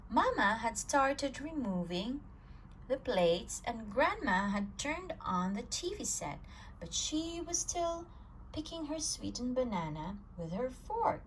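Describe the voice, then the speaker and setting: A woman reading a children's picture book aloud at storytime, telling it with an expressive, swinging voice. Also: fairly high pitch, slow, slight background noise, poor audio. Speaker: storytime reader.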